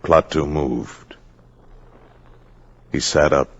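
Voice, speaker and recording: A man's voice: very deep voice, fairly even intonation, very slow, breathy edge, heavily acted. A man narrating a science fiction tale as a grave storyteller, in dramatic old-time radio style. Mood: grave, suspenseful. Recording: muffled old radio, loud background noise.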